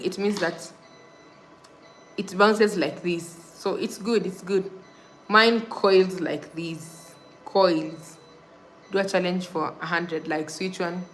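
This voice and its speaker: A woman's voice: lowish voice, fairly bright tone, slight background noise, poor audio. A young woman from Kenya hosting a casual livestream, reading viewer comments and repeating thanks and subscribe reminders. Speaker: livestream host. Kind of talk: casual live-stream chat with viewers.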